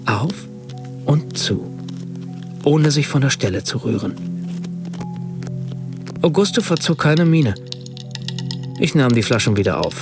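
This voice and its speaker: A man's voice: medium pitch, big pitch swings, unhurried, long pauses, music in the background. An actor playing an observant writer, a man who recounts his memories precisely as radio play narration. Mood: measured recollection. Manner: precise, literary storytelling in reported speech. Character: German writer narrating his Italian summer, observant, measured.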